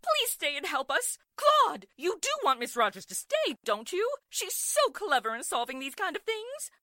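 A woman's voice: sits high, wildly swooping pitch, normal speed, sharp tone, no echo, clean studio sound, heavily acted. A woman playing a fretful, wealthy middle-aged mother in 1940s radio drama style, theatrical and exclamatory. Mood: pleading, eager. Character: excitable, fretful wealthy society matron and mother.

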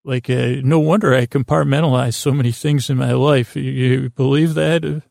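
A man hosting a bedtime podcast in a gravelly voice, rambling in a halting, meandering, self-deprecating way. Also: medium pitch, fairly even intonation, a little mellow, no room sound, clean studio sound. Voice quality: gravelly, creaky. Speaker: podcast host.

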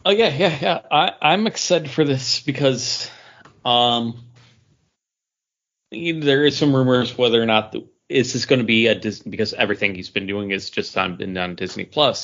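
Eager man with a low voice, lo-fi. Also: a bit bright. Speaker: podcast co-host. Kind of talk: casual geek news discussion.

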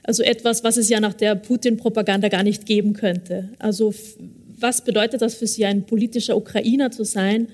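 Interviewer: woman, even and measured, asks long questions. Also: mid-range voice. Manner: measured, with back-channel "mhm"s. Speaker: interviewer, moderator.

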